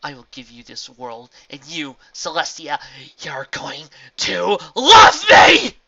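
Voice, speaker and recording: Reader doing a high, shrill, fanatical young mare soldier, storybook audiobook, a bit lo-fi. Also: moderate pace, a crowd in the background, close to an everyday voice. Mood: fierce. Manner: shrill, desperate, often shouting.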